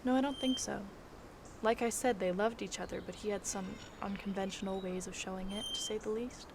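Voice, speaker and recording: A woman's voice: mid-range voice, fairly even intonation, a little fast, background noise, natural voice. Woman acting an earnest, concerned grown daughter. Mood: reflective. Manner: earnest, conversational. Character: concerned grown daughter recalling her late father. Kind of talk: audio drama acting. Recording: clear background ambience.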